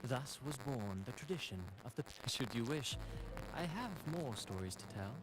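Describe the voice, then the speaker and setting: An actor playing a courteous, eloquent male storyteller in a video game, speaking in a formal, measured, theatrical way. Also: mid-range voice, lively intonation, noisy background, natural voice. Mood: storytelling. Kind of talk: scripted video game dialogue.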